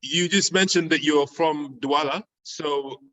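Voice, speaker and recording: Bright-voiced man hosting an interview. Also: on the high side, takes it slowly, distant mic, low-quality recording. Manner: casual, hedging with fillers. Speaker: podcast host. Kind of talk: interview questions.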